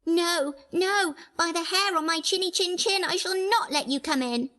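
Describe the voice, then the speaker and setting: A woman's voice: extremely high pitch, normal speed, pushed and bright. A woman voicing a panicky little pig in a children's storybook game, squealing and trembling. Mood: frightened, defiant.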